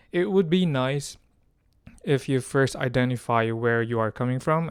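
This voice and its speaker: Young man from the Philippines, vlogger, very clear dry voice, rambling stream-of-thought delivery. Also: mid-range voice, no room sound. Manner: rambling, many fillers and restarts. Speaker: language-learning vlogger. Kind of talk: unscripted stream-of-thought talk to camera.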